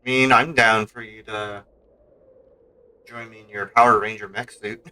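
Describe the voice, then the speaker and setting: A man's voice: fairly low pitch, fairly even intonation, normal speed, bright, forceful tone. A man chatting casually and a little hesitantly as he streams a game, in an informal, rambling way. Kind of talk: casual livestream gaming chat.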